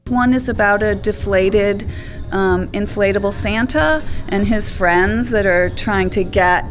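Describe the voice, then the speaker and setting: A woman's voice: mid-range voice, unhurried, soft background music. A woman who directs theater, talking casually in an interview.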